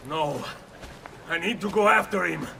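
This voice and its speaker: A man's voice: high voice, measured pace, creaky, pushed and bright, distant mic, a little hiss or hum, heavily acted. An actor playing an impassioned, stubborn young male assassin in a video game, blunt and intense, with an Italian accent. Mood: determined. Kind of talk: video game cutscene acting.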